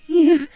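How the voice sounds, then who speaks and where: A woman playing an obsessive, anguished would-be mother in an audio roleplay, halting and swinging between whispers and outbursts. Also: high voice, warm tone, dull audio. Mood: distraught disbelief. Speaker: voice actor. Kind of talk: dramatic ASMR audio roleplay.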